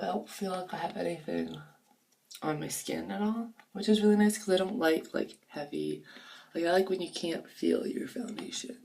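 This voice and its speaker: A woman's voice: mid-range voice, creaky, darkish tone, slight background noise. A woman with a groggy, sore-throated voice, chatting casually through a makeup review in her bathroom, rambling and sometimes dropping to near-whispers. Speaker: beauty vlogger. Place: home bathroom. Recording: voice a bit far from the mic.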